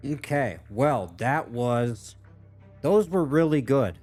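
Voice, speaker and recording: A man's voice: mid-range voice, lively intonation, takes it slowly, a little mellow, soft background music. A man giving casual reaction commentary as a gaming video host, rambling as he thinks aloud.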